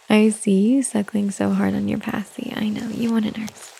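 A woman speaking in a soft, soothing ASMR whisper, slow and unhurried. Her voice has a mellow, darker tone with a gravelly fry running through it. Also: medium pitch, little pitch movement, rough recording. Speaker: ASMR performer.

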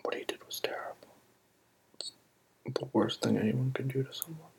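A roleplay performer in a soft, soothing ASMR whisper, playing a comforting partner and repeating gentle reassurances. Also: mid-range voice, moderate pace. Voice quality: breathy whisper.

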